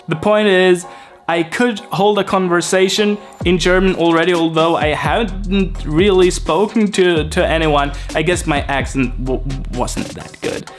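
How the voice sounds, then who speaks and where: Young man, fairly high voice, slow and rambling, soft guitar music behind. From Sweden. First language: Swedish. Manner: unhurried, rambling, many fillers and restarts. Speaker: YouTuber. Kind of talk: casual vlog talk to camera.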